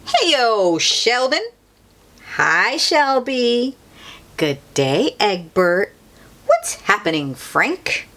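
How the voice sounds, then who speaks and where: Woman playing an earnest, animated storybook egg. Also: fairly high pitch, extremely slow, pitched above the performer's own voice, full character voice. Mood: happy greeting. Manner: exclamatory, theatrical, expressive. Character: earnest, anxious good egg, a storybook narrator character.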